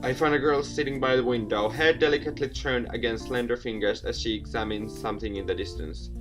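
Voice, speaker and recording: A man's voice: mid-range voice, a little fast, music in the background. A man hosting a gaming video, reading a visual novel aloud with theatrical flair and casual asides, stumbling over words. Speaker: video game let's player, host.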